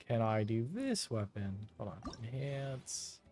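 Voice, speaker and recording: A man's voice: mid-range voice, sing-song, takes it slowly, music in the background. A man giving casual, rambling live commentary while streaming a game, muttering to himself.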